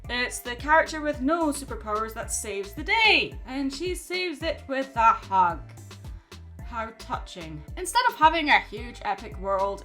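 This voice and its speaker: A woman's voice: on the high side, sharp tone. A woman reading a scripted video essay as narrator, with a wry manner and dry asides.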